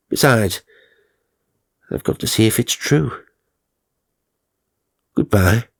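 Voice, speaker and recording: Guy doing fussy irritable gentleman spirit for a ghost story, emphatic, bit husky. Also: on the low side, full character voice. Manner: exasperated, emphatic, proper. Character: good-natured but irritable gentleman spirit at a séance. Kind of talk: dramatised audiobook ghost story.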